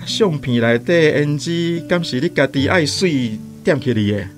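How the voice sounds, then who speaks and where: A man playing a gentle middle-aged son with a Taiwanese accent in a radio drama, asking questions mildly. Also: fairly high pitch, expressive, a little slow, soft background music. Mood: mildly curious.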